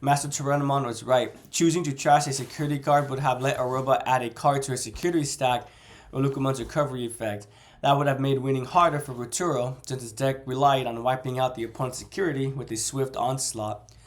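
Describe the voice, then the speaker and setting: A man with a cold reading aloud the steady, reassuring lines of a seasoned, scarred male dinosaur creature from a novel. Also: mid-range voice, fast talker, lightly acted. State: congested from a cold.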